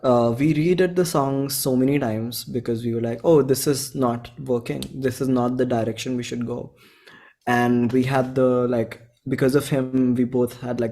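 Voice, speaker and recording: A man in his twenties with an Indian accent, chatting casually as an interview guest and retelling exchanges in slangy, animated quotes. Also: mid-range voice. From Bhopal, India. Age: 20s. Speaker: interview guest, singer-songwriter. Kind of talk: casual chat.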